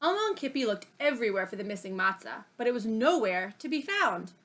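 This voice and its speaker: A woman reads a picture book aloud for children. Her voice is fairly high and bright, with very lively, swooping intonation and an expressive storyteller's delivery. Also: brisk. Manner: expressive, acts out dialogue between plain narration. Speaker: storybook reader. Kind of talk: animated children's read-aloud.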